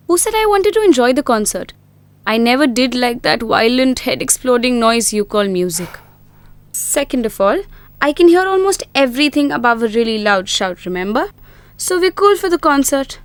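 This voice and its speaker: A woman's voice: high voice, clean tone, no echo. An actress playing a witty, frank young woman who is hard of hearing, in scripted dialogue and reflective narration, with a wry manner. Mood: teasing, fond. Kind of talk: scripted dramatic dialogue and first-person narration.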